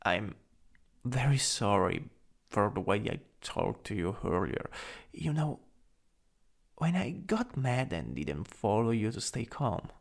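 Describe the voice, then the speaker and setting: Man voicing shy smitten male coworker, boyfriend ASMR roleplay, soft and hesitant, highly varied intonation, unhurried pace. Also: very animated, full character voice. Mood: apologetic. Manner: hesitant, halting, breathy drops into near-whisper. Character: shy lovestruck male coworker confessing to his crush.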